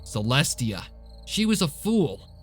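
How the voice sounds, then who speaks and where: A man's voice: fairly high pitch, big pitch swings, slow pace, faint music behind, exaggerated voice. A man voicing a frightened female pony who puts on a defiant, dramatic front in a narrated story. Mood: panicked despair. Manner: dramatic, blustering through fear.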